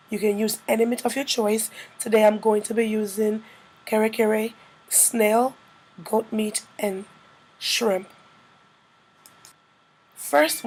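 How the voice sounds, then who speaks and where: A woman with a slightly hoarse, congested-by-a-cold voice, narrating a casual cooking tutorial as a vlogger. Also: medium pitch, a little mellow, low-quality recording.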